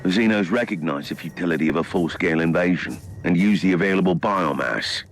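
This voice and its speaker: A man playing a composed, knowing Inquisitor in a video game, speaking in a measured, dryly wry and articulate way. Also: low voice. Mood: grave, explanatory. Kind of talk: dramatic video game dialogue. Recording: music behind the voice.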